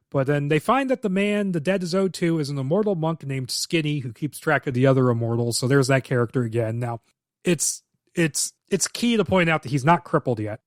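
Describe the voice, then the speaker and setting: A man's voice: a little high, dry recording, studio quality. A man co-hosting a podcast, recapping a film script plot in detail and giving blunt, opinionated takes with casual swearing. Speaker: podcast co-host.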